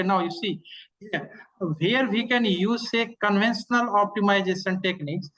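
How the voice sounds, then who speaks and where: Halting online instructor explaining step by step. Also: medium pitch, a bit bright, rough recording. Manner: halting, repetitive, with frequent verbal tags. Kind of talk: live online technical lecture answering questions.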